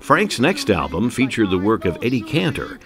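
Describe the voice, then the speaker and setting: Male narrator, low-pitched with animated delivery, scripted documentary read over clear music. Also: normal speed.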